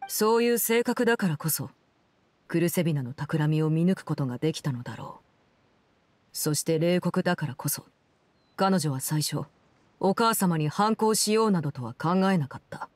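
An actress playing a cold, composed game villainess, telling a story in a measured, solemn and restrained way. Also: female voice, low voice, expressive, no echo. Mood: somber, restrained. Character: cold, composed woman, Fatui Harbinger who runs an orphanage. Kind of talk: scripted game story narration.

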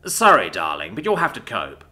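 Man playing a cocky, sharp-voiced young guy. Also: medium pitch, huge pitch swings, brisk. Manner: casual, condescending. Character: cocky, sarcastic young human man, defiant student.

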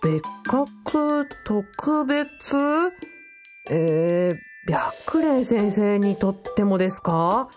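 A woman's voice: mid-range voice, animated, drawn-out words, a little mellow, soft background music. A woman playing a flustered, earnest young male student in a radio drama, speaking in casual but deferential youthful language.

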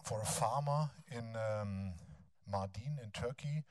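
Halting German-accented male lecturer. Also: fairly low pitch, no echo, clean studio sound. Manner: halting, frequent ums and restarts. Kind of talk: academic lecture, then reading a text aloud. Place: large bright lecture hall. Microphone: handheld mic.

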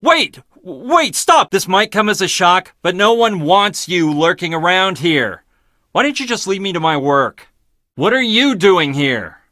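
A man's voice: sits high, big pitch swings, a little slow, sharp tone, dry recording, clean studio sound, heavily acted. An actor playing a prickly, condescending young male scientist in a video game, with clipped, sardonic delivery. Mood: panicked, shouting, then irritated. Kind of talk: video game character dialogue.

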